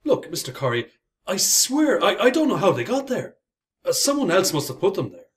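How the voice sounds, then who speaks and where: A man's voice: high-pitched, huge pitch swings, fairly quick. An actor playing an anxious, earnest working man in a radio play, stammering and agitated. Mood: pleading. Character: anxious, wrongly accused working man pleading innocence.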